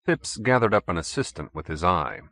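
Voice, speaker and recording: A man's voice: low voice, animated, normal speed, pushed and bright, no room sound. A man reading fiction as an audiobook narrator, in scripted storytelling style.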